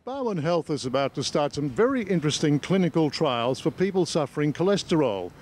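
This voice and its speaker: A man's voice: fairly high pitch, big pitch swings, brisk, darkish tone. A man working as a news reporter, interviewing people on location. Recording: slight background location noise.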